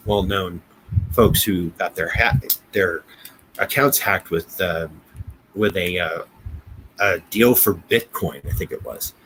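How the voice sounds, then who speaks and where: A man with an American accent in a casual business discussion, speaking haltingly, often repeating words and restarting. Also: lowish voice, fairly bright tone, poor audio. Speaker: business podcast co-host. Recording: noticeable background noise.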